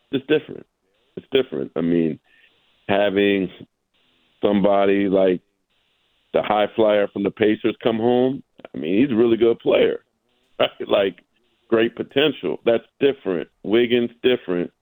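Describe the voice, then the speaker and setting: A man talking basketball casually as a sportswriter guest, haltingly, repeating words and tagging his points with "right?". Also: lowish voice, darkish tone, no room sound, rough recording.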